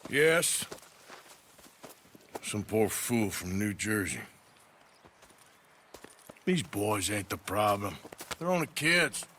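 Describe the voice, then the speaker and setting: An actor playing a weary, wary American outlaw in a video game, talking in a terse, disapproving drawl. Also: male voice, lowish voice, sing-song, slow pace, long pauses, pushed and bright, a little hiss or hum, heavily acted. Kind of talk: video game cutscene acting.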